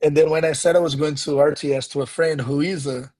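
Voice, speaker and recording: A man's voice: on the high side, fairly even intonation, fast, a little mellow. A Brazilian man in his thirties with a Brazilian accent, Portuguese his first language, answering interview questions as a guest pastor, earnest and reflective, often restarting his sentences. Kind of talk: conversational interview.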